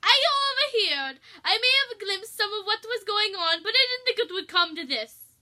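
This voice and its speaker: A woman's voice: extremely high pitch, animated, normal speed, pushed and bright, voice pushed up, full character voice. A woman voicing a fussy, anxious male pizzeria chef with a hesitant manner, in a story reading. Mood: reproachful. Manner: hesitant, fussing.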